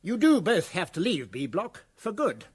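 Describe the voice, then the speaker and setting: A man playing a well-spoken elderly English prison governor in a comic radio play, polite, understated and gently pompous. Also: sits high, wildly swooping pitch, normal speed, husky, heavily acted. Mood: quietly triumphant.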